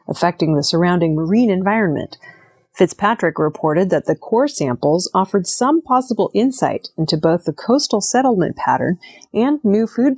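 A woman's voice: on the low side, darkish tone, breathy edge. A woman reading scripted news narration as the presenter of a news program. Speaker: news reader.

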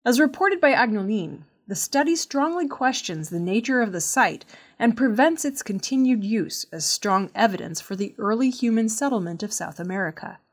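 A woman reading a scripted news report in a measured way, carefully enunciating technical terms. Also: a little high, expressive. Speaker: news reader.

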